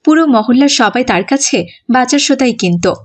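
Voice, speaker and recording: A woman's voice: on the high side, animated, normal speed. A woman narrating a scripted moral story for a children's cartoon.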